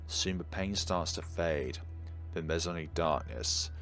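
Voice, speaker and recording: Deep-voiced man doing game commentary. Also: unhurried, gravelly, fairly bright tone. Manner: theatrical reading with wry asides. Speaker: game streamer. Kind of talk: let's play commentary, reading game narration aloud. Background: game music.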